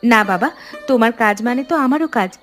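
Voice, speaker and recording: A woman playing a caring, practical college-age daughter in an animated story, speaking in an earnest, reassuring way. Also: fairly high pitch, quick pace. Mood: helpful, determined. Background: background music.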